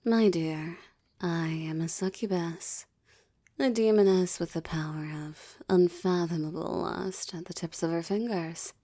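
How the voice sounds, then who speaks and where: Woman playing a low, slow, seductive succubus demoness. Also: fairly even intonation, warm tone. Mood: haughty, seductive. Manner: teasing, haughty, theatrical, often dropping into breathy whispers. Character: seductive, gloating succubus demoness toying with a captive. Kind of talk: intimate roleplay audio drama monologue.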